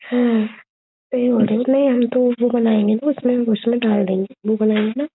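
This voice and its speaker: A young woman chatting casually and intimately with her boyfriend on a phone call, in a childlike, cooing way with drawn-out phrases. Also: on the high side, steady tone, slight background noise. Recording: muffled, band-limited phone call recording.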